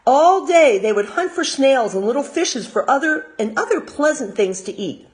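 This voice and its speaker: American lady teacher reading a kids storybook out loud, lively swoopy highish voice, little explaining asides. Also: moderate pace. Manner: expressive, explaining to small children. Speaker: teacher reading aloud to young children. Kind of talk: storybook read-aloud with asides.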